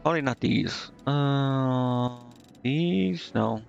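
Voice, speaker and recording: Man, creaky gravelly voice, casual game streamer, hesitant, thinking aloud, slightly dull mic, music behind. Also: mid-range voice, very slow. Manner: hesitant, muttering to himself as he thinks. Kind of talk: casual live game commentary, reading on-screen text aloud.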